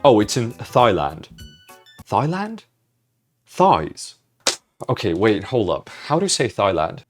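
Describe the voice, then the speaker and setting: A man's voice: on the low side, wildly swooping pitch, takes it slowly, faint music behind. A young man answering viewer questions in a casual vlog, rambling, self-mocking and swearing offhandedly. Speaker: vlogger.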